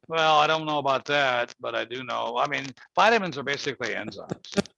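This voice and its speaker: A man's voice: medium pitch, brisk, gravelly, pushed and bright, dry recording. A man in his seventies hosting a casual call-in chat, talking in a folksy, rambling, emphatic way. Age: 70s. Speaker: host. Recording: muffled lo-fi audio with faint noise.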